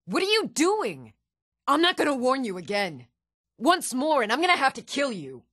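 An actress playing an earnest, dutiful woman of a fantasy realm in a video game, speaking in a measured, composed and formal way. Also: high voice, wildly swooping pitch, fairly bright tone, dry recording, studio quality, exaggerated voice. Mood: alarmed, warning.

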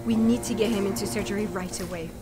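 A woman's voice: medium pitch, steady tone, fast talker, close to an everyday voice. A woman playing an anxious, caring nurse in an audio drama, concerned and coaxing. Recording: loud music under the voice.

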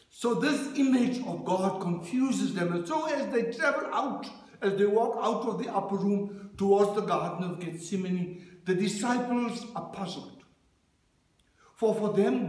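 A man leading a church service as minister, reading scripture and preaching in a measured cadence at a lectern. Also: high-pitched, darkish tone. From South Africa. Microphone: lectern microphone, far camera. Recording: very echoey church room.

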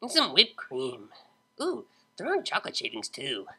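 A woman's voice: low voice, wildly swooping pitch, pushed and bright, husky, heavily acted. A woman doing a drunk, drawling unicorn DJ. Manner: slow, drawling slang. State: drunk, slurring. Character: drunk young female unicorn DJ, laid-back.

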